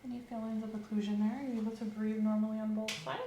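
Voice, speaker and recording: A woman speaking softly and slowly as a clinician in an ASMR roleplay exam, often dropping to a whisper. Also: mid-range voice, little pitch movement, reverberant, noisy background. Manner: slow, gentle, instructive, often whispering. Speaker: ASMR creator playing an examining clinician. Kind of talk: soft-spoken roleplay physical exam.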